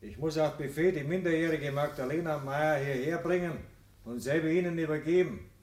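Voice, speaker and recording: An officious official, played by a man in a radio drama, formal and following orders. His voice is fairly high and rings in an echoey room. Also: fairly quick, a little mellow, lightly acted. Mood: official, curt. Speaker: actor in a radio play. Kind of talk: German radio drama acting.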